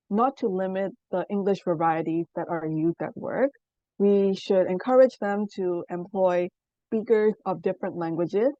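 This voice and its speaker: A young woman from Burma, a native Burmese speaker, giving a student presentation in a measured, explanatory way with frequent pauses. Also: medium pitch, dark tone, dry recording, lo-fi sound. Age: young adult. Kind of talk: class presentation.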